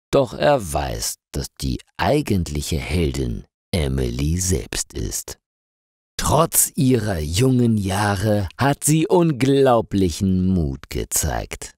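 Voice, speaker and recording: A man narrating a scripted story for language learners in a slow, deliberate and expressive reading. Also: low-pitched, no room sound, studio quality.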